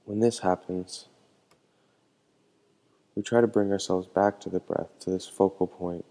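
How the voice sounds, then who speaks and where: A man with a low voice guides a meditation in calm, measured instructions. His tone is soft-edged and mellow, and his pitch stays very flat and even. He speaks gently, as a meditation teacher does.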